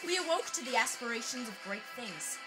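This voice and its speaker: A woman's voice: a little high, big pitch swings, a little fast, rough recording. A young woman giving a dramatic, mock-grandiose reading of her humorous personal essay. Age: young adult. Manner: theatrical, mock-grandiose delivery. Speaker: essayist reading own work. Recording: loud orchestral film score behind voice. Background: dramatic orchestral music.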